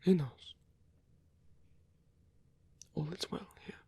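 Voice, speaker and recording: A performer playing a lonely old male vampire in an ASMR roleplay, tender and wistful, speaking in a breathy, husky whisper with halting delivery. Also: dark tone. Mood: sombre, reassuring.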